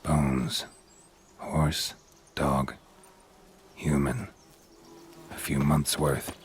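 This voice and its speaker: Very deep, gruff monster hunter. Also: male voice, drawn-out words, a little hiss or hum, exaggerated voice. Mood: brooding, observant. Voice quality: gravelly, low. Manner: terse, deadpan, clipped sentences. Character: gruff stoic middle-aged male monster hunter. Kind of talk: video game voice acting.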